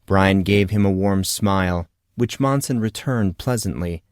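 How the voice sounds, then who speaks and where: A man's voice: low-pitched, unhurried, clean tone, no echo, clean studio sound. A man reading scripted narration for a fantasy story as an audiobook narrator.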